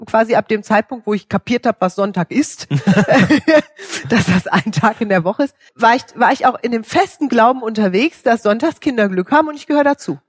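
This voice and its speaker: A woman's voice: a little high, animated, normal speed, slightly rough, no echo. Female guest from Hesse, cheerful and talkative, dry recording. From Hesse, Germany. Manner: cheerful, laughs readily. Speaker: interview guest. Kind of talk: casual interview talk.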